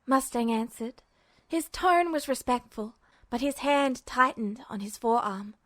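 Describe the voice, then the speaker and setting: A woman reading fanfiction aloud as podfic narration in a steady, even manner. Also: fairly high pitch, unhurried, no room sound, studio quality. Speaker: fanfiction reader.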